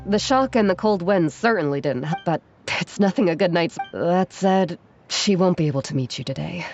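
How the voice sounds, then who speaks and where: An actress playing a gentle, grave woman character in a fantasy video game. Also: fairly low pitch, animated, moderate pace, dull audio, soft background music. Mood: subdued, apologetic.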